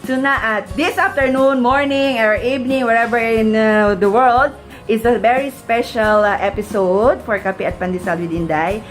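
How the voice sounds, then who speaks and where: A woman introducing a show as its host, halting and pausing as she goes. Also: on the high side, steady tone. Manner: halting, with pauses. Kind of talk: live show announcing. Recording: music clearly behind the voice. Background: music.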